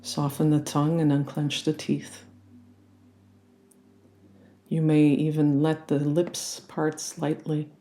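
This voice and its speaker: A meditation guide leading a guided relaxation in a gentle, soothing and unhurried manner. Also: medium pitch, monotone. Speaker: yoga nidra meditation guide.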